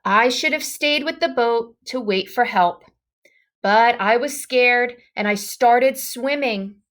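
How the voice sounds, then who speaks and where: A woman with a high voice reads a children's storybook aloud. She takes her time, stretching important words for effect. Her delivery is dramatic and expressive, with emphatic exclamations. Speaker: storybook reader.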